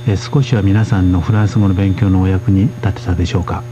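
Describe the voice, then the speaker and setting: A man hosting a radio language course, speaking in a polite, steady way as he interprets for his guests. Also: deep voice, racing, lo-fi sound, background noise. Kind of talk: radio lesson presenting.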